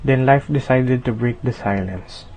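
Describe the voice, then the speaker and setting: A man's voice: mid-range voice, a little slow, a little mellow, poor audio. A man playing the solemn storyteller who narrates a fable in a scripted radio drama. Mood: reflective. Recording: faint background noise.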